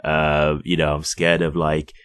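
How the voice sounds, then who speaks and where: A man's voice: a bass voice, completely flat delivery, unhurried, fairly bright tone, clean tone, no room sound, studio quality. A man giving casual, unscripted commentary straight to his viewers, conversational and often checking in with a questioning tag. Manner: conversational, repeatedly checks in with "right?". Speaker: crypto YouTuber.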